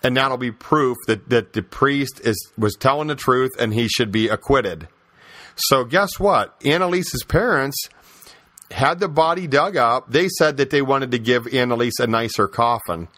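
A man with a medium-pitched voice hosts a podcast, recorded close and dry with little room sound. He delivers opinionated religious commentary as conversational storytelling. He leans on repetition and rhetorical questions to press his points emphatically. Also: a little slow, fairly bright tone, no room sound. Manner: emphatic, repeating phrases for stress. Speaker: podcast host.

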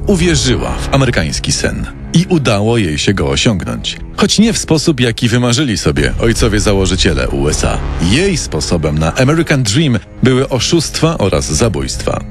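Animated male true-crime narrator. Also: medium pitch. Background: music.

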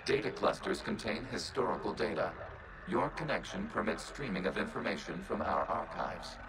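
A man playing a calm, dutiful robot intelligence in a video game, speaking in formal, measured, literal phrases. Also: a bass voice. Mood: calm, informative. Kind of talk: scripted video game dialogue. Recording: clearly noisy game audio capture.

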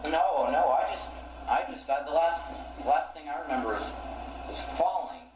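A male amateur playing a disoriented, uneasy man trapped in a dark room in a horror audio drama, hesitant and repeating himself. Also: fairly high pitch, normal speed, natural voice. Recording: muffled, noisy old cassette, echoey and distant.

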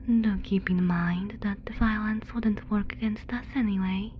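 A cautious alien woman scientist voiced by an actress whispers slowly and softly for an ASMR roleplay. She is formal, measured and reassuring. A faint ambient noise sits behind her. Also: medium pitch, fairly even intonation, dull audio, lightly acted. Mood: matter-of-fact warning. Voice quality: soft breathy whisper.

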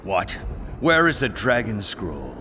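A man playing a resentful, proud snow leopard villain in an animated action game, taunting and commanding. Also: slow, exaggerated voice. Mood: demanding, threatening. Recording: loud rumbling background noise.

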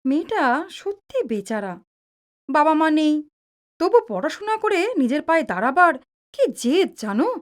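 Woman acting a high-voiced, expressive mother. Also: moderate pace. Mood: chiding. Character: caring, chiding middle-aged mother and wife. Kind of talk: audio drama acting.

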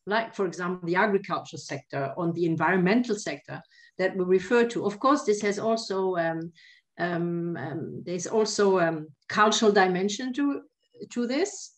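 Woman, panelist, video call, hesitant, many fillers. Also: fairly low pitch. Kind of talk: online panel discussion.

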